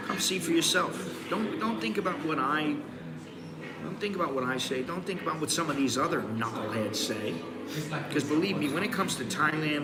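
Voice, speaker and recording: A man in his sixties from the United States hosts a casual live stream from a busy coffee shop, giving blunt, rambling advice with frequent restarts. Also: medium pitch. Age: 60s. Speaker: host. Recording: roomy, crowded cafe. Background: crowd chatter.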